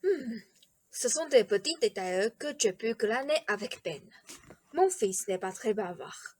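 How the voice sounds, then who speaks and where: Woman as polite eccentric king, high voice, dry close sound, formal phrasing, radio drama. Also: animated, darkish tone, dry recording. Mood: courteous, confiding. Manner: formal, over-polite, measured. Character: courteous, eccentric, ceremonious old-fashioned king, a doting father. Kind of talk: theatrical radio play acting.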